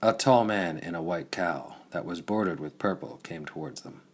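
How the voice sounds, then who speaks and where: A man with a very deep, husky voice reads as an audiobook narrator. His delivery is scripted and dramatic, suited to pulp science fiction storytelling. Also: normal speed.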